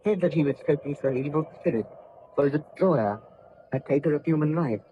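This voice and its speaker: A man's voice: on the high side, lively intonation, a little fast, narrow, tinny audio, natural voice. A man acting an earnest, uneasy ship's officer who defers to his captain, in old-time radio drama style. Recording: faintly noisy vintage radio recording.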